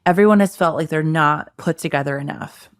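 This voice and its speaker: Woman in her thirties, lowish voice. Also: a little fast, clean tone, no echo, studio quality. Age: 30s. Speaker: podcast host. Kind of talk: casual chat.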